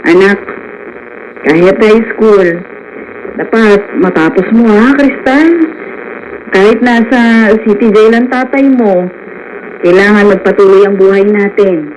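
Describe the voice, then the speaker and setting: A woman's voice: mid-range voice, fairly even intonation, slow, pauses often, a little mellow, lightly acted. An actress in a radio drama playing a hard-up, caring Filipino mother, earnest, motherly and long-suffering. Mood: earnest, insistent. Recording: dull radio audio with slight noise.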